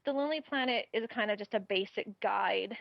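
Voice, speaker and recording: A woman's voice: mid-range voice, fairly quick, gravelly, no echo, lo-fi sound. Chatty woman giving travel tips. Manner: chatty, laughs often, practical tips. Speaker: travel guest. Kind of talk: casual interview chat.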